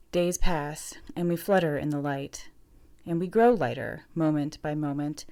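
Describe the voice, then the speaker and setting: A woman's voice: low voice, dark tone. A woman giving a scripted reading of lyrical, literary prose. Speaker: reader of a literary piece.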